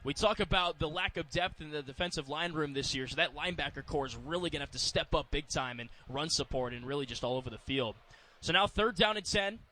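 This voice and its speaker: A man's voice: on the high side, fast, sharp tone, slight background noise. A man with an American accent giving live radio play-by-play of American football in clipped, descriptive phrases.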